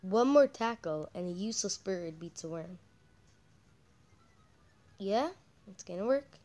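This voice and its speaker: A woman doing casual, halting game commentary as a channel host, her voice croaky from a cold. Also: mid-range voice, animated, mellow. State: croaky with a cold, coughing and throat-clearing.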